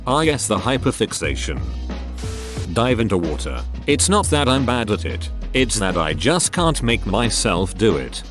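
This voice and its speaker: Low-voiced man reading posts aloud. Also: unhurried. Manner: reads short comments one after another in an even reading tone. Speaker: narrator reading online forum posts. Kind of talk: scripted narration. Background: background music.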